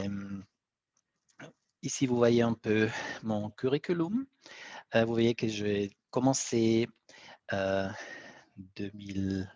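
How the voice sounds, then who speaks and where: A man whose first language is Italian, speaking with an Italian accent, presents on a webinar, pausing often to search for words. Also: on the low side, no echo. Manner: halting, searching for words, frequent fillers. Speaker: guest speaker, BIM coordinator. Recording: dry, dull lo-fi webinar audio.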